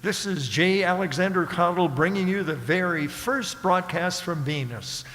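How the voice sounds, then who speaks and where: A man's voice: high-pitched, normal speed. A man playing a grandiose broadcast announcer in a radio play, with formal, showy old-time delivery. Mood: grand. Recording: echoing hall with loud crowd noise.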